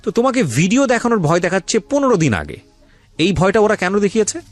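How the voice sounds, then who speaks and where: A man's voice: sits high, huge pitch swings, fast talker. A man with a Bangladeshi accent hosting a radio interview, speaking emphatically, repeating phrases and mixing in English words. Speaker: radio host. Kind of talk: radio interview and commentary on life stories. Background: faint music.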